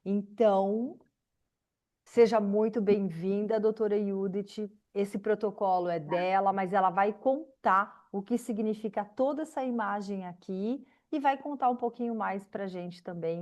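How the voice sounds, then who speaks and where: A woman's voice: mid-range voice, darkish tone. A woman informally presenting to an online group as host. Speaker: host introducing a guest.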